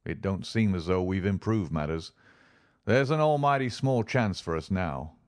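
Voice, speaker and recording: Narrator voicing a worn-out frontiersman, low rough drawl, soft and patient. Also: lively intonation, dry recording, studio quality. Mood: gentle. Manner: rough frontier dialect, tender and slow. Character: weathered frontier man, gentle and resigned.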